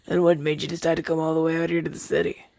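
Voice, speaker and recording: A man's voice: on the high side, monotone, very fast, creaky, darkish tone, dry recording. A young man playing a chatty, down-on-his-luck crow demon, once a witch's familiar, in a hesitant, stammering roleplay audio drama. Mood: curious, friendly.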